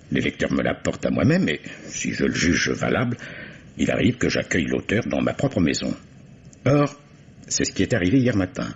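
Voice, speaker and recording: Very deep, husky gentleman publisher. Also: heavily acted. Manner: literary, deliberate, dramatic phrasing. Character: formal, grave older gentleman publisher recounting events. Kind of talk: French radio mystery drama acting. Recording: dull old radio recording.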